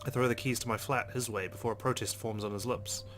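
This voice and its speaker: A man's voice: mid-range voice, fairly even intonation, quick pace, fairly bright tone, a little hiss or hum. A young man hosting a let's play, reading game narration aloud with casual reactions.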